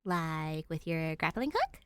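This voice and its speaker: A woman's voice: deep voice, huge pitch swings, slow pace, clean tone, no room sound, exaggerated voice. A woman voicing a cheeky, reckless teenage girl, playful and mischievous, in an audiobook story. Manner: mischievous, flippant, giggly. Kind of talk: audiobook character dialogue.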